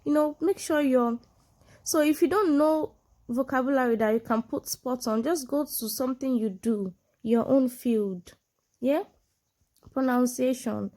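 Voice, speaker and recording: A woman's voice: sits high, measured pace, darkish tone. A woman from Nigeria with a Nigerian accent and a sonorous voice, speaking English as a second language, giving an informal lesson with examples and frequent check-ins with the listener. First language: a Nigerian language, not English. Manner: explains with examples, frequent check-in tags like "yeah" and "you know". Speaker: online English exam tutor. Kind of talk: informal instructional talk to camera.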